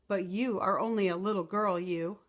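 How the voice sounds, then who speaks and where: A woman voicing a poor, worried mother in a storybook, gently cautioning and resigned. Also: mid-range voice, fairly even intonation, moderate pace, lightly acted.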